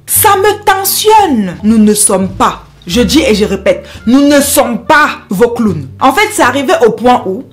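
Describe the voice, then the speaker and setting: A woman's voice: fairly high pitch, very animated, measured pace, hardly any pauses, soft background music. A woman from Cameroon with a Cameroonian French accent, speaking straight to her viewers in an impassioned vlog and repeating herself for emphasis. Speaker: YouTuber.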